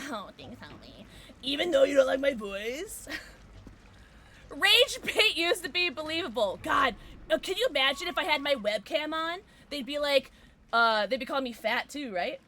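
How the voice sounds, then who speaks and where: A woman streamer with a low alto voice, chatting casually with her viewers while she plays, sarcastic and quick to break into squeals and laughs. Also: bright, forceful tone, a little hiss or hum. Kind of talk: casual livestream chat while gaming.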